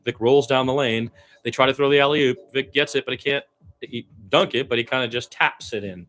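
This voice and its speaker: A man's voice: medium pitch, steady tone, brisk, a bit bright. A man giving conversational radio play-by-play of a basketball game, mixing running calls with opinionated asides. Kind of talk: live basketball play-by-play commentary.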